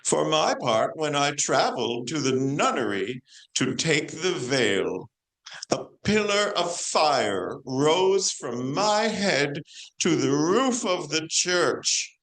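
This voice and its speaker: Woman acting a slow, stately female saint. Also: sits low, fairly bright tone, full character voice, poor audio. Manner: measured, declamatory storytelling. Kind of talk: amateur stage play performance.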